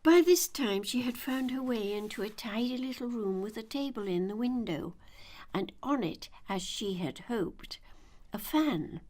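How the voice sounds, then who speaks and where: Older female storyteller for children's audiobook; high-ish voice, slow and deliberate, expressive narration.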